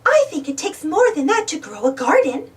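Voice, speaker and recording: Woman voicing a perky high female cartoon duck. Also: big pitch swings, normal speed, far from the mic.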